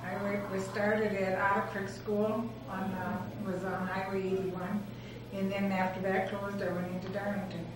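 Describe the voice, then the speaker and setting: A woman's voice: mid-range voice, flat delivery, moderate pace, crowd noise. An older woman being interviewed, giving brief, plain answers about her past. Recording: echoey room, distant mic, noisy.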